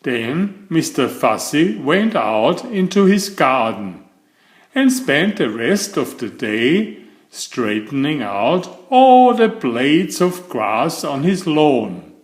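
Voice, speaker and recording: A man reading a children's storybook aloud in a measured, expressive storytelling manner. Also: a little high. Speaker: storybook narrator. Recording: roomy, echoing sound.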